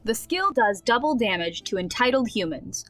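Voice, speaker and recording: High-voiced woman playing a game master. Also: expressive, normal speed, fairly bright tone, faint music behind. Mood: storytelling. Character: game master narrating a comic fantasy tabletop game. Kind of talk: scripted radio drama narration.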